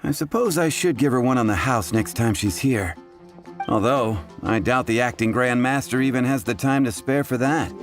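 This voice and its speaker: A wry, businesslike bartender, played by a man in a video game. He speaks in a plain, mid-pitched voice, dry and matter-of-fact with a touch of sarcasm, over soft game music. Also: normal speed, lightly acted. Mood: sheepish.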